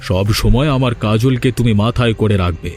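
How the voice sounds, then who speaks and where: A man's voice: medium pitch, a little fast, a little mellow, soft background music, close to an everyday voice. A man voicing a scheming older father-in-law, insistent and protective of his daughter, in a fairy-tale cartoon. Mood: conspiratorial, insistent.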